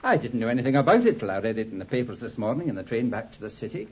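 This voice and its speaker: An actor playing an anxious, earnest young Englishman in a 1950s radio drama, speaking in a nervous, halting, pleading way. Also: medium pitch, very animated, husky, dull audio. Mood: nervous, distressed. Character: anxious, earnest young man protesting his innocence.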